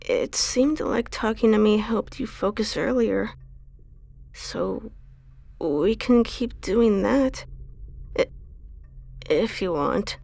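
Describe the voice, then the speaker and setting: A woman's voice: medium pitch, slow pace, gravelly, pauses often, a little mellow. A woman playing a shy, earnest young undead woman in an audio drama, speaking hesitantly and easily flustered. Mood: timid, tender. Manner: hesitant, timid stammering. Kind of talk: audio drama character acting.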